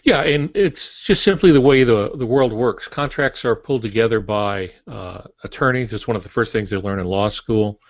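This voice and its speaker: A man's voice: fairly low pitch, normal speed, few pauses, dry recording. A man in his sixties explaining things in a podcast discussion over a video call from his home office. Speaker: podcast panelist, veteran author. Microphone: earbuds on a video call. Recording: dull, compressed video-call audio.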